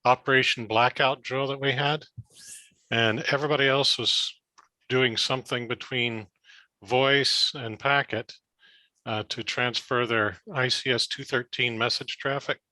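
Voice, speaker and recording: A man's voice: medium pitch, fairly even intonation, measured pace, pushed and bright, dry recording. A man from a ham radio club explaining equipment on a video call, enthusiastic and stumbling over restarts. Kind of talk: casual technical talk on a video call.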